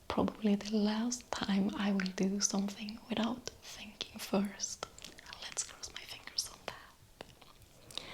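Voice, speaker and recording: Slow whispering Swedish woman. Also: mid-range voice, a little hiss or hum. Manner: soft whispering, unhurried, with small hesitations. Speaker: ASMR creator. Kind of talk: whispered ASMR Q&A.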